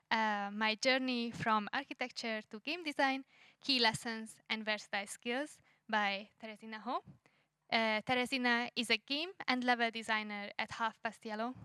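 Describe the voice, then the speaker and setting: A woman's voice: a little high, bright, forceful tone, smooth, no echo. A woman hosting an event and introducing the next speaker from a script, with a few fillers. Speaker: event host.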